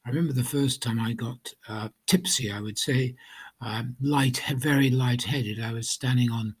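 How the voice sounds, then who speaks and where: Husky-voiced man from Wales, hesitant. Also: medium pitch, fairly even intonation, normal speed. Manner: hesitant, many ums and restarts. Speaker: guest telling his recovery story. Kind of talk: personal testimony.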